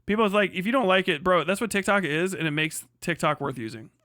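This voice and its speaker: A young man runs a casual home livestream, reacting to chat in a slightly high voice. He swears freely, circles back on himself and restarts his sentences constantly. The sound is dry and close, with no room around it. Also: fast talker, bright, forceful tone, no room sound. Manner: rambling and profane, with frequent restarts and laughter. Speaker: livestream host.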